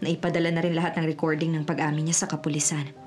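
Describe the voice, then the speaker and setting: A woman plays a reformed female swindler in a Filipino radio drama, earnest about starting over. Her voice is fairly low, with music playing strongly underneath. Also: flat delivery, fast, a little mellow, close to an everyday voice. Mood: earnest, relieved. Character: repentant female con artist starting over honestly.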